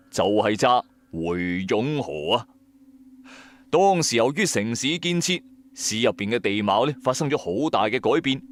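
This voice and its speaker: Male Cantonese horror narrator: lively, colloquial, suspenseful, music underneath. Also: medium pitch. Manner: suspenseful, colloquial, rhetorical questions. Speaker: storyteller. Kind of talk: animated colloquial ghost-story narration.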